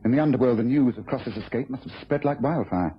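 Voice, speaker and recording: An actor playing a shrewd, composed British detective in a mid-century radio drama, deliberate and authoritative. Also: male voice, mid-range voice, close to an everyday voice. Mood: analytical. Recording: muffled, noisy old radio broadcast.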